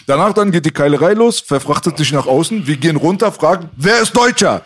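A man's voice: on the high side, animated, fairly quick, slight background noise. A man, originally from Iran, hosting a casual chat and telling stories in street slang. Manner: talkative storyteller, street slang.

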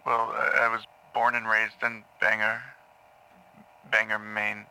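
An actor playing a nervous, troubled man who speaks haltingly and apologetically in an audio drama. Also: on the low side, monotone, bright, forceful tone, clear, resonant tone, exaggerated voice, rough recording. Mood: guarded, uneasy. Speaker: actor playing a therapy patient.

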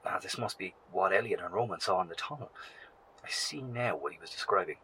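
An actor playing a weary, anxious professor in a horror audio drama, speaking gravely and hesitantly in a recorded broadcast to survivors. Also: male voice, low voice, expressive, fairly quick, pushed and bright, slight background noise, low-quality recording. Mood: wary, hushed.

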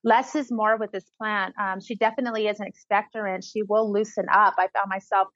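A woman's voice: mid-range voice, fairly even intonation, brisk, a bit bright, no room sound, muffled recording. A woman talking casually and eagerly, rambling with frequent restarts. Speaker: herbalist guest. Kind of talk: casual conversation.

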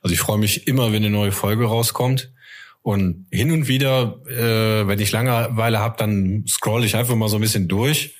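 A man's voice: lowish voice, fairly even intonation, moderate pace. A man around his thirties chatting casually on a podcast, colloquial, jokey and self-deprecating.

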